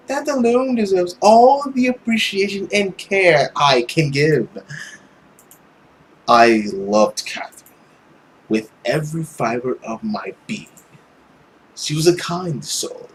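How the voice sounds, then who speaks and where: A woman voicing a suave male villain who preys on people, with a theatrical, condescending manner and drawn-out words. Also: fairly low pitch, a little hiss or hum, voice pushed up, poor audio. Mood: smug amusement, then cold, wistful menace.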